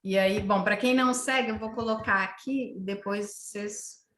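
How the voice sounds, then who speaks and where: A woman hosting an online talk over a video call, speaking in a halting way with many fillers and restarts. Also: medium pitch, steady tone, fairly bright tone. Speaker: host of an online lecture series. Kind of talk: casual video-call chat. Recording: roomy video call audio.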